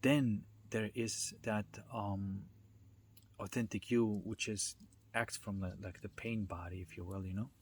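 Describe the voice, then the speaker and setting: A man giving an informal, unscripted talk as a spiritual self-development speaker, halting as he searches for words and often checking in with the listener. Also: lowish voice, fairly even intonation. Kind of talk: informal unscripted explanatory monologue. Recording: noticeable background noise.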